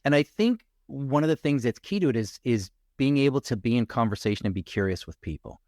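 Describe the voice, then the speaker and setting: A man who works as a coach, speaking as a guest in a casual podcast conversation in a reflective, measured way. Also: mid-range voice, lively intonation, a little mellow, no room sound, studio quality.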